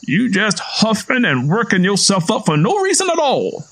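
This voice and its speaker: Man voicing a high-pitched, taunting Southern man. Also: huge pitch swings, moderate pace, fairly bright tone, exaggerated voice. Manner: folksy, taunting, argumentative.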